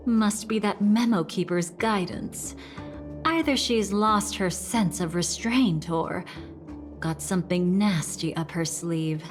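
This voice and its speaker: Slow, composed, calculating game heroine. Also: female voice, fairly low pitch, soft background music. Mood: cool, teasing. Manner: measured, deliberate. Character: composed, calculating woman, unhurried and watchful. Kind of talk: scripted video game voice acting.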